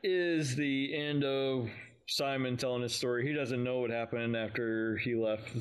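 Male podcast co-host, mid-pitched, casual recap style, informal and halting delivery. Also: steady tone, clean tone. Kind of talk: casual recap of an audio drama.

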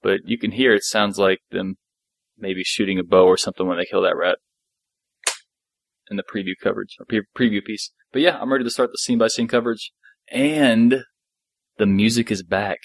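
A man with a fairly low voice, recorded dry with little room sound. He talks as a podcast co-host going through a story recap, in a casual, somewhat halting way. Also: creaky, no room sound. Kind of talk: casual episode recap discussion.

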